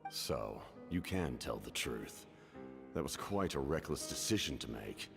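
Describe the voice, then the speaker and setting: An actor playing an imperious, guarded male warrior in a video game, speaking in measured, formal phrases with a deep, gravelly voice. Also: lively intonation, exaggerated voice. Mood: stern, probing. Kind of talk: dramatic video game voice acting. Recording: long echoing reverb under game music.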